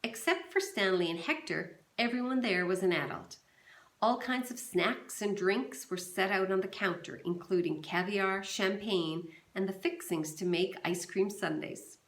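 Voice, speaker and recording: A woman's voice: medium pitch, moderate pace. Woman teacher reading aloud, echoey. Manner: calm, steady storytelling. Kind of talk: read-aloud of a novel to a class. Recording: roomy echo.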